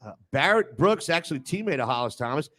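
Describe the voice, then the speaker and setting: A man with a fairly high-set voice hosts a casual sports talk show. He is opinionated and emphatic, and likes to jump in on the banter. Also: very animated, fast, fairly bright tone.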